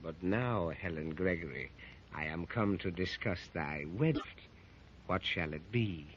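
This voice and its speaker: A man's voice: low voice, lively intonation, measured pace, slight background noise. A haughty and menacing king of a lost city. He speaks in grand, archaic phrases with the theatrical weight of 1930s radio drama. The sound is dull and muffled, like an old broadcast. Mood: gloating menace. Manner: pompous, archaic royal speech. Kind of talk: 1930s radio serial acting.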